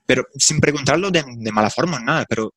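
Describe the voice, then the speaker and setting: A man's voice: mid-range voice, lively intonation, fast talker, a bit bright, husky, no echo. A young man from Portugal, a swim coach speaking Spanish with a Portuguese accent, talking thoughtfully and a little hesitantly in an online discussion. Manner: thoughtful, hesitant, apologetic about his Spanish.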